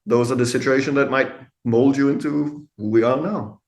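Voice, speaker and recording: A middle-aged man with a Danish accent talks in an easygoing, candid way, swearing now and then and laughing often. His voice sits at an ordinary middle pitch. The room around him gives it a noticeable echo. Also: moderate pace. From Denmark. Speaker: guest, tattoo artist and photographer. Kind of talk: casual interview conversation. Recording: echoey room.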